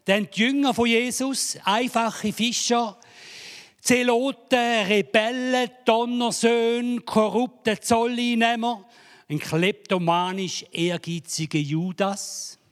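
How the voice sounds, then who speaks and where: A man's voice: very high-pitched, slow pace. A man preaching a sermon in a church hall, joking and building to dramatic emphasis. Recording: reverberant hall.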